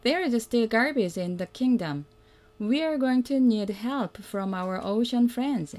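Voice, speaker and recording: Slow, mellow woman reading to children. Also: fairly high pitch, lively intonation. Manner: simple, deliberate, prompting the listener with questions. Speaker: mother reading a picture book to children. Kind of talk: read-aloud children's storytelling.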